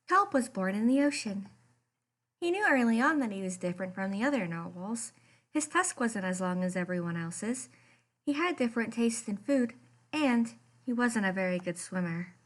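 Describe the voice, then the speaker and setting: Lively, higher-voiced woman reading a storybook. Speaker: library storyteller reading a picture book aloud. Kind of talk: expressive read-aloud narration for children.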